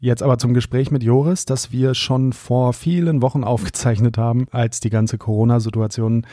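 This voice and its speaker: A man's voice: medium pitch, fairly even intonation, normal speed, mellow, dry recording, studio quality. A man hosting a podcast, introducing and interviewing a guest in a friendly, conversational way. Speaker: podcast host.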